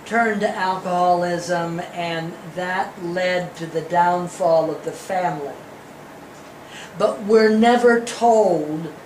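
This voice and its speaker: Woman, very slow delivery, medium pitch, deliberate group critique, echoey room. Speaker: audience member giving feedback on a play. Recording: echoey room with faint noise.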